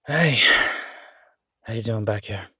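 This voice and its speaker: A man's voice: lowish voice, takes it slowly, bright, forceful tone, husky, no room sound, studio quality, heavily acted. A man playing an easygoing, affectionate chef in an audio roleplay, talking in a casual, folksy, reassuring way. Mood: relaxed, affectionate. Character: easygoing down-home male chef, affectionate restaurant owner.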